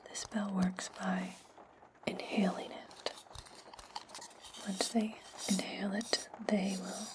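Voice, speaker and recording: A woman playing a menacing, controlling witch in a whispered ASMR roleplay, commanding her servant in breathy, deliberate tones. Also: mid-range voice, level tone, lightly acted. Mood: calm, calculating.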